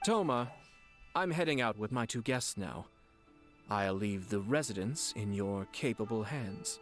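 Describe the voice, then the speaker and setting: An actor playing a courtly, shrewd young clan lord in a video game, speaking in a formal, measured and polished way. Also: male voice, fairly low pitch, expressive, soft background music. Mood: wry, calm.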